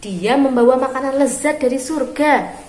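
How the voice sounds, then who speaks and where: A woman reading a story aloud for young children, phrasing expressively and deliberately with pauses. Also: high-pitched, a little mellow. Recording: roomy echo with clear background noise.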